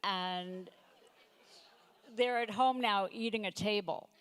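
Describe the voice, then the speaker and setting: A woman in her fifties doing a stand-up comedy set, delivering deadpan one-liners with halting fillers and self-corrections. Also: mid-range voice, a little hiss or hum. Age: 50s. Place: comedy night stage before a live audience.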